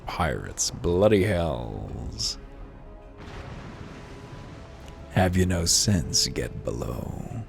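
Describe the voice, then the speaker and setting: Guy doing a chatty old-fashioned game peddler, folksy talk, really low and lively, music under it. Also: takes it slowly, vocal fry, heavily acted. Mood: alarmed, gruff. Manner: folksy dialect, colloquial phrasing. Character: chatty, friendly travelling peddler man, folksy and worldly-wise. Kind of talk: voiced reading of fantasy game dialogue. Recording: music behind the voice.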